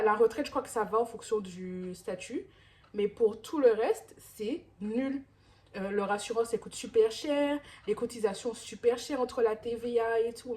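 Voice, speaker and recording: A woman's voice: fairly high pitch, darkish tone. Rambling woman in her thirties, chatting to camera. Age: 30s. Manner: rambling, many restarts and fillers. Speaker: vlogger. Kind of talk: casual talk to camera.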